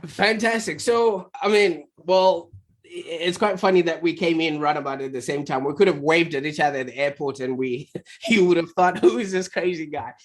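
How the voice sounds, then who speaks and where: A man from Zimbabwe, chatty and animated, telling his story as a guest on a call interview. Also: on the high side, normal speed. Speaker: guest, agency founder. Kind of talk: conversational interview over a call. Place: remote call.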